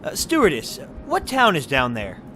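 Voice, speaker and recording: An actor playing a chatty, affable married passenger in a retro radio drama. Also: male voice, a little high, wildly swooping pitch, moderate pace, fairly bright tone, a little hiss or hum. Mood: curious.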